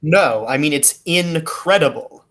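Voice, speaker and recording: A man's voice: fairly high pitch, animated, a little slow, a bit bright. A man playing an earnest, puzzled detective hero in the style of a 1940s radio detective play. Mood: probing.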